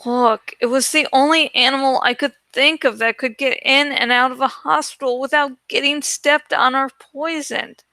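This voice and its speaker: Woman as a gentle, earnest teenage girl, high-voiced. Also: steady tone, normal speed, hardly any pauses, dry recording. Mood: defensive. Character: gentle, slightly pouty teenage girl offering tentative suggestions.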